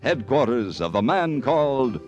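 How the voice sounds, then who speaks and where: A man announcing an old-time radio western in a dramatic, measured style. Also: mid-range voice, big pitch swings, muffled recording. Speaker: program announcer. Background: loud guitar theme music.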